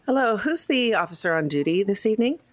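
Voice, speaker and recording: A woman's voice: medium pitch, big pitch swings, fast, no echo. A woman talking casually as a player in a tabletop role-playing game. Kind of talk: casual chat and in-character role-play.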